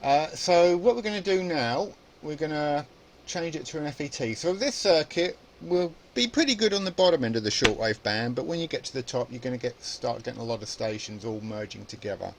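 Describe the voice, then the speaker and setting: A man's voice: a little high, animated, brisk. A man presenting an informal hands-on electronics tutorial, explaining casually and thinking aloud as he goes. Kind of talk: informal spoken tutorial demonstration.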